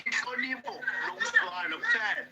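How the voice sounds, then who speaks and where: An older man on a call, giving animated advice on love and respect between people. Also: extremely high pitch, fairly quick, creaky, over loud music. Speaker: caller. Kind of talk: call-in over phone.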